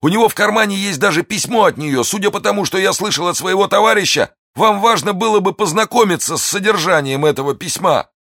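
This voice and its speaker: Shrill, begging wounded soldier. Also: male voice, expressive, fast, hardly any pauses, a bit bright, no room sound, clean studio sound, exaggerated voice. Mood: pleading, terrified. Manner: desperate pleading, high strained cries. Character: wounded terrified male soldier, cowardly and pleading. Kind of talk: audiobook character voice.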